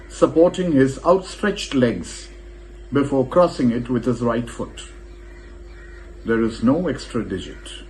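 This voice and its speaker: A man reading a scripted film review as narrator, with expressive, shifting emphasis. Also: on the high side, rough recording.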